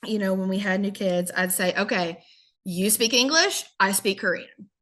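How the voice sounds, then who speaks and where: A woman from the American South with a Southern accent, a guest telling lively stories in a casual podcast chat. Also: mid-range voice, normal speed. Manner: lively storyteller, acts out quotes, laughs often. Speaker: guest, former English teacher in Korea.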